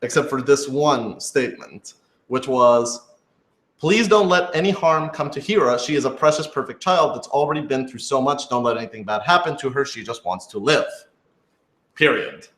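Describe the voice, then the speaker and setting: A man's voice: medium pitch, animated, normal speed, a bit bright. A young American man, a director, answering questions casually in a discursive, emphatic way, repeating his phrases. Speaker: director and writer of a radio drama. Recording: echoey room.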